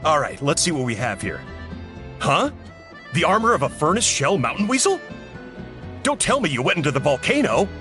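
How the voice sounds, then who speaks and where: Lively, practical game village chef. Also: male voice, on the high side, moderate pace, pauses often. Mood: surprised. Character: practical, knowledgeable young male village chef. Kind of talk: video game voice acting. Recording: music behind.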